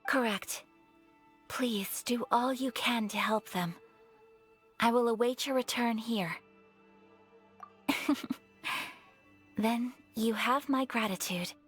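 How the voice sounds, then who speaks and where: A woman voicing a refined young noble lady in a video game, with gracious, formal, measured speech. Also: a little high, fairly bright tone, husky, faint music behind, exaggerated voice. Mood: composed, earnest.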